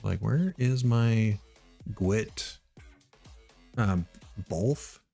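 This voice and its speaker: A middle-aged man from Missouri thinking aloud while he writes code, halting with many ums and uhs. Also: fairly low pitch, gravelly, mellow, faint music behind. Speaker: live-coding streamer.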